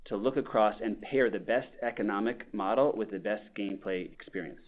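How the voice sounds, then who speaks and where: Low-voiced man on a tinny conference line. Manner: measured, corporate, frequent "uh" and "um". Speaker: company executive. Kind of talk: answering an analyst on an earnings call.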